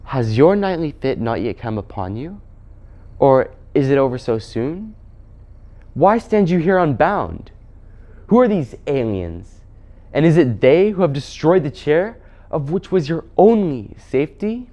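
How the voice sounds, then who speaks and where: A man's voice: a little high, sing-song, measured pace, warm tone, highs cut off, voice pushed up. Man putting on an imperious, coaxing witch queen. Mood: cold, imperious. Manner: archaic, haughty phrasing with coaxing mock pity. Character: imperious witch queen, sweetly menacing and condescending.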